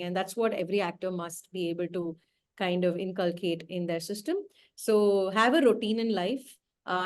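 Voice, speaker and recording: A woman casting director from India, giving earnest, advising answers in a conversational interview. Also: mid-range voice. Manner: earnest, advising, thinks aloud. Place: indoor room.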